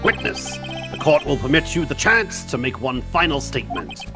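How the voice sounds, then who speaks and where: A man voicing a stern older judge with a solemn, formal manner and gravelly voice in a comedic game dub. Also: a little high, sing-song, moderate pace. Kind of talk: comedic live fan dub of a video game. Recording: game music behind the voice.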